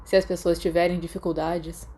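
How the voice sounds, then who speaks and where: A woman's voice: on the low side, little pitch movement, normal speed, warm tone, slightly rough. Woman as a modest, nervous teenage schoolboy. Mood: shy, nervous. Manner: modest, hesitant. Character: polite, modest, nervous teenage boy student.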